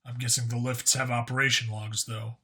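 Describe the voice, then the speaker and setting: A man's voice: medium pitch, little pitch movement, a little fast, pushed and bright, breathy edge. A man playing a blunt, sweary human cop in a science fiction audiobook, casual and plainspoken, sometimes hesitant. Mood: questioning. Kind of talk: audiobook character acting.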